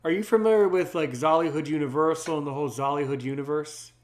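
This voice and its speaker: Podcast host guy, voice a bit on the high side, chatty, repeats himself and stops and starts. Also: quick pace. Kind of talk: casual interview chat.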